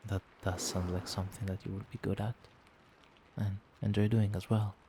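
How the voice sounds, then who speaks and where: A man playing a doting, gentle vampire boyfriend in a soft-spoken ASMR roleplay, tender, reassuring and coaxing. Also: fairly low pitch, level tone, normal speed, background noise, natural voice. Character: doting, gentle male vampire boyfriend soothing partner to sleep.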